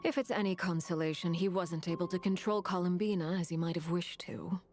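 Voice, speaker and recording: A woman playing a cool, composed, stern character in a video game, with measured, deliberate speech. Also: lowish voice, steady tone, darkish tone, soft background music, close to an everyday voice. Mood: cold, grave.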